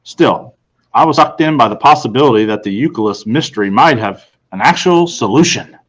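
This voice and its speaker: A man's voice: medium pitch, lively intonation, normal speed, fairly bright tone. A man reading a first-person storybook narration aloud. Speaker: audiobook reader.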